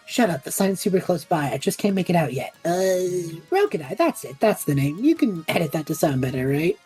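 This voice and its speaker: A woman's voice: fairly low pitch, brisk, soft background music. Woman playing a cheeky, swooping, cocky young adventurer lad. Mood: showman patter. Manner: flippant, chatty, excitable. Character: cheeky, cocky young male adventurer and self-styled broadcaster. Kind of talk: fantasy audio drama acting.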